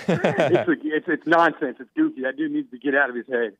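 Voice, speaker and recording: A man calling in to a talk show, talking fast in casual slang and calling people bro and dude. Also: a little high, animated, a bit bright, husky, no room sound. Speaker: caller. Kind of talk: phone-in comment. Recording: tinny phone line.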